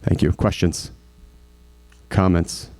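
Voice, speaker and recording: A man giving a school activities report at a board meeting, halting, with many fillers and restarts. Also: deep voice, level tone, a little mellow, no room sound. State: recovering from hoarseness.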